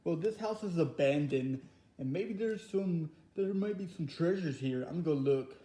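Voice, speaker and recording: A man voicing a greedy old crab boss in a fan-made cartoon parody, talking in a gruff, eager way. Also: a little high, animated, moderate pace, dark tone, roomy sound, close to an everyday voice.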